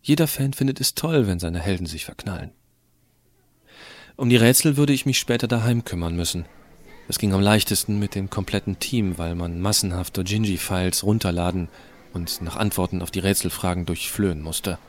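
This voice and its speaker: A man playing a tech-savvy, rebellious high school student who narrates his story in an explanatory, colloquial way. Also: fairly quick, natural voice. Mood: conspiratorial, matter-of-fact. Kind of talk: scripted audio drama narration.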